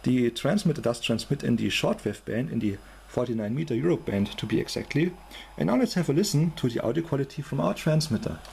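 A man's voice: mid-range voice, lively intonation, a little fast, vocal fry, darkish tone, slight background noise. A man with a German accent giving an informal, matter-of-fact technical demonstration as a hobby electronics presenter.

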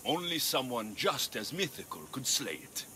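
A man's voice: medium pitch, sing-song, moderate pace, pushed and bright, a little hiss or hum. An actor playing a dry-witted male mercenary hero with a sardonic delivery. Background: game music.

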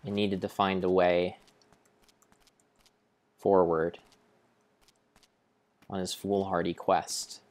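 A young man improvising a mock-grand, deliberate and halting dramatic narration of a video game. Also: low-pitched, level tone, a little mellow. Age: young adult. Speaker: game streamer. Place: indoor room at home.

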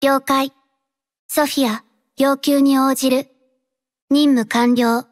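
An actress voicing a reserved, dutiful young female mercenary in an anime-style mobile game, speaking in a quiet, terse, halting and earnest way. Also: high-pitched, level tone. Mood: businesslike, calm.

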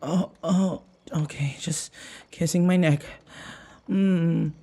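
A man playing a timid, submissive human in an intimate audio roleplay, halting and stammering, with a breathy, husky voice. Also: a little high, steady tone, dark tone. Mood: nervous, flustered.

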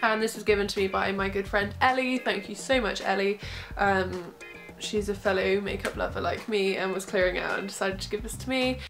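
A woman's voice: medium pitch, fairly quick, creaky, music in the background. A young woman with a cold and a bunged-up voice, chatting casually through a makeup tutorial in her bedroom, close to the camera. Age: young adult. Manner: chatty, relaxed, giggly asides. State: congested from a cold. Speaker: makeup vlogger. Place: small bedroom, indoors. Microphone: camera close in front.

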